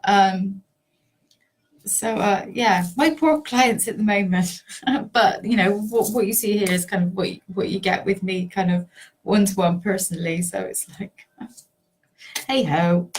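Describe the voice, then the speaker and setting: A woman with a sore, hoarse voice, a life coach chatting casually and rambling with many ums and little laughs. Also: medium pitch, unhurried. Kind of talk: casual talk to camera.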